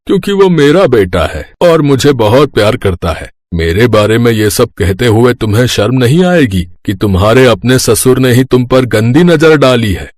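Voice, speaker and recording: A man's voice: medium pitch, lively intonation, moderate pace, few pauses, darkish tone, no echo, natural voice. A man playing a lecherous, scheming middle-aged father-in-law in a cartoon story, hesitant and wheedling. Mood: defensive, manipulative. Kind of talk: Hindi moral-story cartoon acting.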